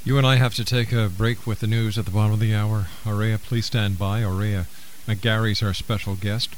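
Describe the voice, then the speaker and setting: A man's voice: lowish voice, steady tone, normal speed, a little hiss or hum, rough recording. A man hosting a radio talk show, steadily reading out phone numbers and station plugs between segments.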